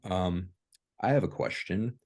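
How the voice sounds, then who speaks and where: Man, low voice, casual chat, halting delivery, video call. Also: a little mellow.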